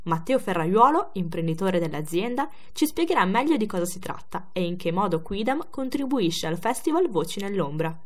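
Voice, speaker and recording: A woman presenter reading a scripted culture report in a medium-pitched voice. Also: big pitch swings, normal speed, hardly any pauses. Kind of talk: scripted narration.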